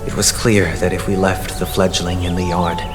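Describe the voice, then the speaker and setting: An actor playing a composed, courteous idealist leader in a video game, speaking in measured, formal and eloquent phrases. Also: male voice, sits low, little pitch movement, lightly acted. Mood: wistful, narrating. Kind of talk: video game story dialogue and narration. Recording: long reverb, loud music behind.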